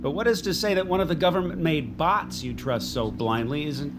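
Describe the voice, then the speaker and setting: A man's voice: fairly high pitch, fairly quick, background noise, close to an everyday voice. An actor playing a skeptical, sardonic businessman in an audio drama, pressing his questions pointedly.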